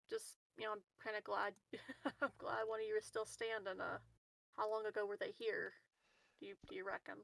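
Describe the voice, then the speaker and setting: A woman plays a tabletop game and chats casually. Her mid-pitched voice has noticeable fry, and she rephrases hesitantly. The sound is close and dry. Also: level tone, a little slow, pauses often, a bit bright, dry recording. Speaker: tabletop roleplaying player.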